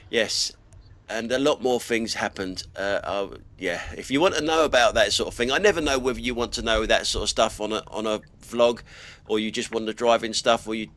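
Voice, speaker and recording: A man's voice: mid-range voice, a bit bright. Middle-aged British male vlogger, bunged up with a cold, blunt grumbling driving commentary from the driver's seat. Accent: British English. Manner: blunt and grumbling, swears casually. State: stuffy nose from a cold. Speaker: dash cam vlogger. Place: inside his car while driving.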